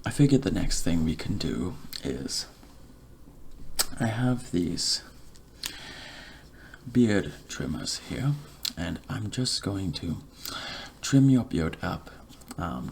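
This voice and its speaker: Slow, low, creaky ASMR man half-whispering. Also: slight background noise. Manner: gentle, soothing, attentive, slow and deliberate. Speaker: ASMR performer playing a barber. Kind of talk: ASMR roleplay, soft-spoken and whispered personal attention.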